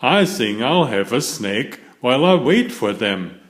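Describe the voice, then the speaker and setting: A man's voice: mid-range voice, big pitch swings, a little slow, echoey room. A man voicing a grumpy but giggly young toad in a children's storybook, with grumbles and groans. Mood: grumbling.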